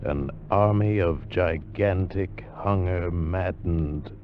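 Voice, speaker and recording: An actor playing an ominous storyteller, grave and suspenseful, in a 1950 radio horror trailer. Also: male voice, sits low, slow, heavy background noise. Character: ominous storyteller setting a scene of dread. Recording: muffled vintage radio recording, music behind.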